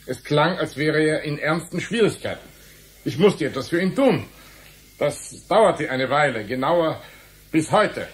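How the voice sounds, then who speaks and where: A stubborn male journalist in a radio drama. His fairly high voice explains things deliberately, with a defensive edge as he justifies himself. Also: lively intonation. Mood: measured, insistent. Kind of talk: German radio play acting.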